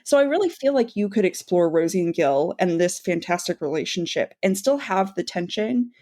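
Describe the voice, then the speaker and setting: A woman's voice: fairly low pitch, lively intonation, moderate pace, warm tone. Articulate woman co-host. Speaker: podcast co-host. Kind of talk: casual discussion.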